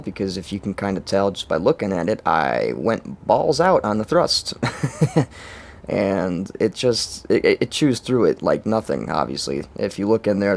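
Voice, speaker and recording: A hoarse young man talks through his game builds in a relaxed, rambling way. His voice sits low and gravelly with plenty of fry, and he fills gaps with ums and little laughs. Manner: rambling, chuckling, many fillers. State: hoarse, lost voice. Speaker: game channel host. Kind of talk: casual gameplay walkthrough.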